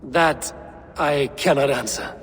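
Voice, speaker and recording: An actor playing a loyal, grim Viking warrior in a video game, blunt and grave in manner. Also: male voice, mid-range voice, slow pace, fairly bright tone, a little hiss or hum. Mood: impatient. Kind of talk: dramatic video game cutscene acting.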